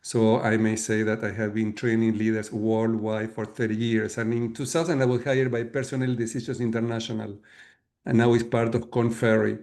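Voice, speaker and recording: Middle-aged Argentine man, Spanish-accented English, steady flat delivery, methodical guest speaker. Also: lowish voice. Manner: measured, explanatory, frequent fillers and restarts. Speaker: guest author and leadership coach. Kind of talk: webinar talk.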